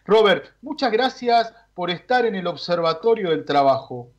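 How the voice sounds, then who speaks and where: A man hosts a radio show and introduces his guest. His voice sits high for a man, and his questions run long and wind along with frequent "eh" fillers. The sound is a little dull. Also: expressive, moderate pace. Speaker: radio host.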